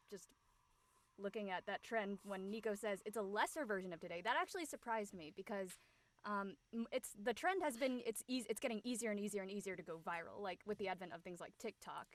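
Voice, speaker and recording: A woman speaking as a panelist in a thoughtful discussion, reasoning things through in an analytical way. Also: mid-range voice.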